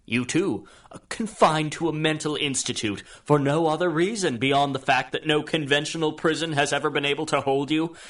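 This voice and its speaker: A man's voice: a little high, normal speed, fairly bright tone, natural voice. A man playing a grandiose, scheming supervillain in a radio drama, with a florid and ingratiating manner. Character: grandiose, scheming male supervillain with electrical powers. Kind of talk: radio drama acting in 1930s pulp serial manner.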